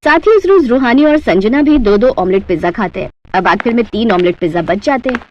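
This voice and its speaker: High-pitched woman narrating a cartoon story. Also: animated, a little fast, highs cut off, a little hiss or hum.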